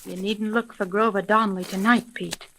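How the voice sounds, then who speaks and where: An actress playing a brisk, commanding young female private detective in a crisp, authoritative 1930s radio drama style. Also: mid-range voice, steady tone, a bit bright, slight background noise, rough recording. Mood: grave. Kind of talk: 1930s old-time radio drama acting.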